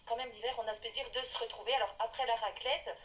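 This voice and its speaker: A woman's voice: on the high side, fairly even intonation, quick pace, dull audio. A woman talking casually as a guest in a radio discussion.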